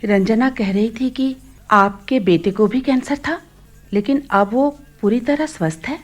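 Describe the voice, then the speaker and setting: A woman's voice: on the high side, measured pace. An actress playing an anxious, grateful mother in a radio play, polite and earnest. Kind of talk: Hindi radio play acting.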